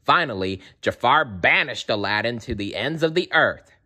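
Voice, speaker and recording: Animated man reading a children's storybook. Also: mid-range voice, normal speed. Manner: playful asides to the listener. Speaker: storybook reader.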